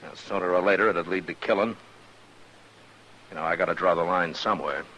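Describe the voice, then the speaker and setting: A steady, unflinching frontier lawman with a very low, forceful, bright-edged voice. He talks plainly and with measure, as in an old radio western. There is a light hiss over the recording. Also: muffled recording. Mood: firm, unyielding. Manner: plain-spoken, measured, folksy. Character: firm, weary frontier US Marshal, steady and unflinching.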